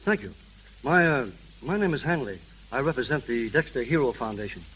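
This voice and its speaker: An actor playing an officious, effusively polite official with formal, wordy speech in a 1930s radio drama. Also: male voice, medium pitch, animated, a little fast, a bit bright, lightly acted. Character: brisk, officious, overly polite foundation representative, adult man. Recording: hissy, crackling 1930s radio recording.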